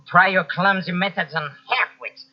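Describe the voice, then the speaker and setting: An actor playing a tormented, guilt-ridden poor writer under suspicion, agitated and halting, in old-time radio drama style. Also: male voice, high voice, steady tone. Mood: indignant, defiant. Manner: agitated, halting, evasive, by turns sarcastic. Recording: dull lo-fi old radio cassette transfer.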